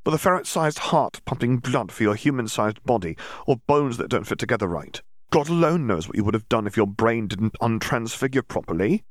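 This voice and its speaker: A man's voice: medium pitch, expressive, a little fast, no room sound, clean studio sound, pitched above the performer's own voice, close to an everyday voice. A man voicing a scolding teenage witch. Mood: exasperated lecturing. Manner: exasperated lecturing.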